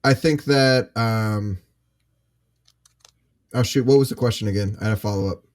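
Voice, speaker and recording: A man's voice: on the low side, normal speed, darkish tone. A man in a casual panel discussion, candid and hesitant as he thinks aloud. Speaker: panel participant.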